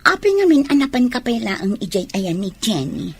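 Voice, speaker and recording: A woman acting a jealous, scheming mistress in an Ilocano radio drama, with a husky voice. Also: fairly high pitch, sing-song, fairly quick, heavily acted. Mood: sulky.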